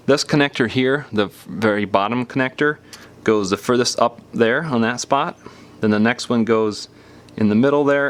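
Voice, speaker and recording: A man's voice: on the low side, smooth. A man giving casual, step-by-step hands-on instructions as a repair tutorial presenter, patiently explaining in an informal, folksy way.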